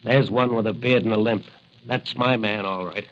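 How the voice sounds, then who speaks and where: An actor playing an earnest, resolute young cop who fights crime in a mask, in deliberate, declamatory old radio style. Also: male voice, fairly low pitch, fairly even intonation, close to an everyday voice. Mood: wary, intent. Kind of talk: 1940s radio adventure acting. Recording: muffled vintage radio recording.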